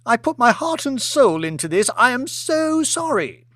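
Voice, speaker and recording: Audiobook role: petulant highborn lady, played by a man, high-pitched, wildly swooping, histrionic and imperious. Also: sing-song, normal speed, a bit bright, dry recording, studio quality, exaggerated voice. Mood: tearful, self-pitying. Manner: petulant, sobbing self-pity turning to furious outrage. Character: imperious, shrill, histrionic aristocratic lady throwing tantrums.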